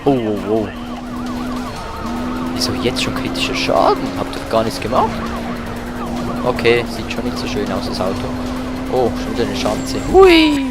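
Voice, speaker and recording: A man's voice: mid-range voice, wildly swooping pitch, moderate pace, pauses often. A man giving casual, colloquial gameplay commentary with exclamations as he plays. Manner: colloquial, mildly swearing, exclaims while playing. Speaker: let's play host. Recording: loud music and car noise behind. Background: game music and car engines.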